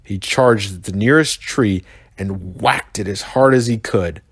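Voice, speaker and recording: An American man, a pastor, reading a children's story aloud with expressive narration. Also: fairly low pitch, unhurried, husky, dry recording, clean studio sound.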